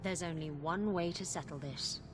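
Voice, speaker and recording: A woman's voice: sits low, moderate pace, background noise, natural voice. A woman playing a brash, unrepentant pirate captain in a video game, wry, flippant and defiant. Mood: firm. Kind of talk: video game character dialogue. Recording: loud music behind the voice.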